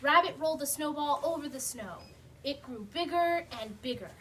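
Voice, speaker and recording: A woman narrating a children's puppet show, animated and speaking to a young audience. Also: high voice, moderate pace. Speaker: storyteller. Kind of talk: children's story reading. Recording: distant mic, faint noise.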